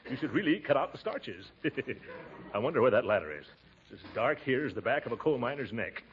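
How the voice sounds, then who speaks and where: An actor playing a pompous, flustered middle-aged uncle in a blustering, chuckling 1940s radio comedy style. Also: male voice, mid-range voice, very animated, brisk, muffled recording, natural voice. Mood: grumbling. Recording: noisy, band-limited old radio recording.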